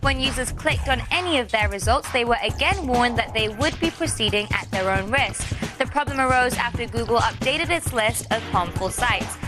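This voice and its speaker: An American woman reads short news stories as a segment presenter, with a breezy, polished delivery. Her voice sits fairly high, and a music bed plays clearly behind her. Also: lively intonation, moderate pace, few pauses. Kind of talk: scripted news read for a tech and pop culture show.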